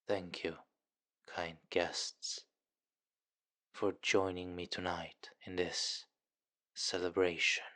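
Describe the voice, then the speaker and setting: A man playing a suave, commanding, centuries-old vampire king in an ASMR fantasy roleplay, speaking formally and deliberately with quiet menace. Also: deep voice, no room sound. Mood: regal, formal. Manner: formal, deliberate, softly imperious.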